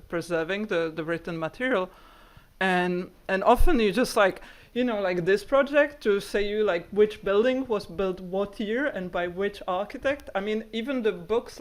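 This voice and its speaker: A woman researcher answering audience questions after a talk, rambling with many fillers like "like" and "you know". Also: medium pitch. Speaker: guest speaker, architecture researcher.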